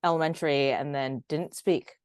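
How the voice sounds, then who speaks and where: A woman hosting an interview, asking curious questions with soft reactions. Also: deep voice, fairly even intonation, normal speed, clear, resonant tone, no room sound, studio quality. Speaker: host. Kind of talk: casual interview.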